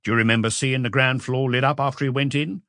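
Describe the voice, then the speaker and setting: A man's voice: medium pitch, fairly even intonation, quick pace, fairly bright tone, no echo, clean studio sound, natural voice. A man voicing a methodical male police questioner in a detective audiobook, terse and probing.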